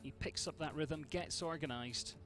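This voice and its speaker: A man's voice: a little high, moderate pace, a bit bright, soft background music. A man from Scotland with a Scottish accent, giving chatty live commentary on a show jumping event and greeting viewers by name.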